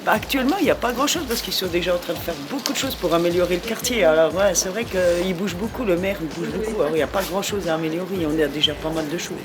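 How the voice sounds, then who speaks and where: A man's voice: high-pitched, fast, hardly any pauses, a crowd in the background. A man answering questions in a casual street interview.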